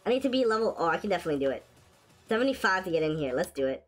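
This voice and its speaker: A woman hosting a gaming video with casual, excitable commentary, her voice raspy from a sore throat. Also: lowish voice, racing. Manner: excitable, shrieks and laughs at wins and deaths. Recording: faint game music behind.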